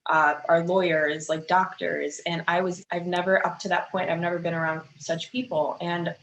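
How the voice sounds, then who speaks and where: A woman with a fairly low voice chats candidly as an interview guest. She sounds casual and a little giggly. The room is echoey, with faint noise behind her. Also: little pitch movement, normal speed. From Chicago, United States. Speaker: fitness coach, interview guest.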